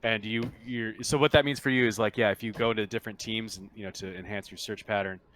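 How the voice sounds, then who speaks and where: A man narrating a tabletop roleplaying game as game master in a halting, conversational way. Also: lowish voice, steady tone, fairly bright tone, slight background noise, poor audio.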